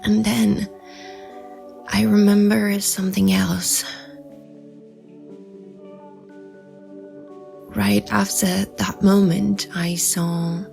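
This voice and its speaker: Actress as wistful female lead, bedtime audio drama. Unhurried, fry-edged, hesitant, soft music underneath. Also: medium pitch. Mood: hushed wonder. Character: wistful woman recalling a mysterious seaside memory. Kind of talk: intimate audio drama acting.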